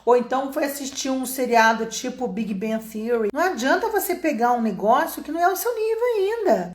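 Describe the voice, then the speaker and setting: Animated Brazilian woman teaching, echoey room. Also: fairly high pitch. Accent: Brazilian Portuguese. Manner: chatty, animated, addresses viewers as "gente". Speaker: English teacher. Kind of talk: casual video lesson.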